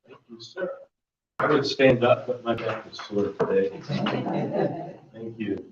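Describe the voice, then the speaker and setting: Man, booming presenter at a workshop, rambling delivery, distant mic in a reverberant meeting room. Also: unhurried, heavy background noise. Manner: loose, rambling delivery with many fillers and restarts. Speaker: volunteer mentor presenting a workshop. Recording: dull, echoey room audio from far off.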